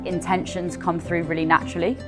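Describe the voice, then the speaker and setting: Young woman, lower voice, super casual interview chat, says like a lot, faint music behind. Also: little pitch movement, brisk. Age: young adult. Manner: chatty, informal, peppered with "like". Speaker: bar assistant general manager.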